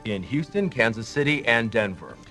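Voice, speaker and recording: A man's voice: medium pitch, very animated, moderate pace, music in the background. A man playing a television news anchor, emphatic and announcerly, in a game's parody newscast. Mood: reassuring. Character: male TV news anchor, smooth and reassuring.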